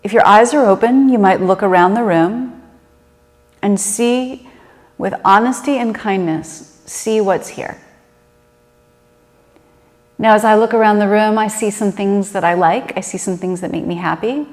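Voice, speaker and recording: A woman leading a guided meditation in a gentle, unhurried and conversational manner. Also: medium pitch. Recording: reverberant room with long echo.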